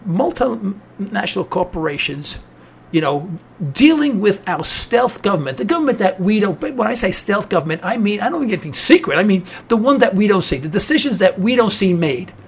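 A man's voice: sits high, sing-song, a little fast, breathy edge. A man with a New York accent hosting a talk program, delivering a cynical political rant. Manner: cynical, ranting. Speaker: radio talk host.

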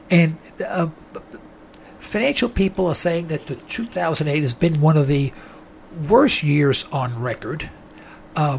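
A man with a hoarse, strained voice hosts a call-in radio show, sounding worn down by a throat infection. His fairly high voice carries a gravelly edge. He rambles in a folksy way, calling his listeners folks. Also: a little hiss or hum. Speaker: radio talk show host.

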